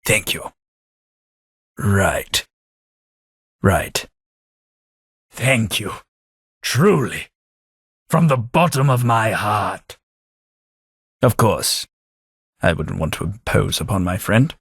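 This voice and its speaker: Game companion: a centuries-old British gentleman, voiced by a man, deep and breathy, dry close sound, polite with wry wit. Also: expressive, extremely slow, long pauses, no echo, heavily acted. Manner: formal, polite and dryly wry. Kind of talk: video game voice acting.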